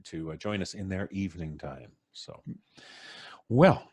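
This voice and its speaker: A man's voice: low voice, expressive, a little slow, darkish tone, slightly rough. A man from Canada hosting a casual live stream, introducing guests in a jokey, showy announcer style.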